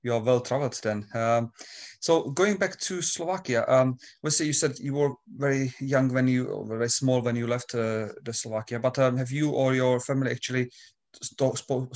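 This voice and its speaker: A man's voice: medium pitch, steady tone, moderate pace, darkish tone. A man with a mid-range voice works as an interviewer. He speaks politely and informally, feeling his way through each phrase with hesitation.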